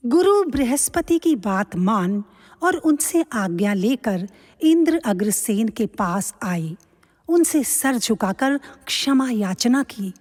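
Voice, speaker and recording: A woman narrates a scripted story in a fairly high voice. Her pace is slow. Long hall-like reverb trails her words. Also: sing-song, a little mellow.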